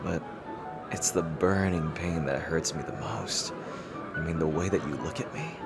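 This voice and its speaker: A man playing a teasing rival king in an intimate dramatic roleplay, halting and smug yet easily flustered. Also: rumbling low, creaky, over loud music, heavily acted. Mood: earnest, guarded. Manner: halting, teasing, with soft chuckles and pauses.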